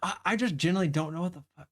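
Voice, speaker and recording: A man with a light Southern accent chats on a live game stream in a slightly high voice. He rambles, trips over his own sentences, laughs a lot and swears freely. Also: very fast, fairly bright tone. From Southern United States. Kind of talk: casual live-stream chat, reading game dialogue aloud.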